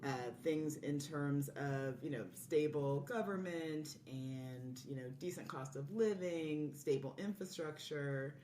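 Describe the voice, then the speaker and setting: A low-voiced woman chats as a podcast guest, explaining things in a casual way. She sounds set back from the mic in a roomy space, with faint noise behind her. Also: slow, few pauses, dark tone, recorded from a distance. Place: indoor room, recorded in person.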